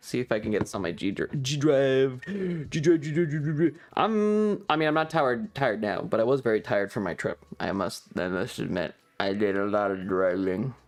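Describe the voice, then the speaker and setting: A man's voice: medium pitch, animated, moderate pace, vocal fry, soft background music. A man hosting a casual livestream, chatting with viewers in a playful, rambling, slightly distracted way. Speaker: live streamer and host.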